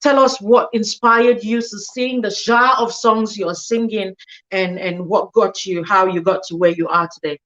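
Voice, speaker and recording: A woman's voice: medium pitch, fairly even intonation, unhurried, fairly bright tone, lo-fi sound. A woman from Cameroon hosting an online interview show, playful and teasing, often laughing with her guest. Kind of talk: lively hosted interview.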